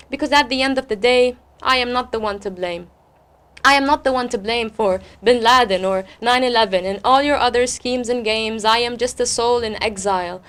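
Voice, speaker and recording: Woman poet guest, voice a bit high, expressive, leans on words and repeats them. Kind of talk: interview talk and spoken-word poetry recital.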